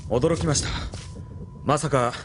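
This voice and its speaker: A man's voice: mid-range voice, a little slow, noisy background, lightly acted. A man playing a formal, stern military lieutenant in a Japanese audio drama, clipped in manner. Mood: respectful.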